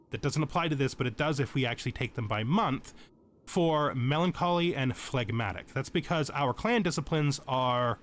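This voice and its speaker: A man's voice: mid-range voice, slight background noise. A man giving casual tutorial commentary over a video game, explaining things as he thinks aloud.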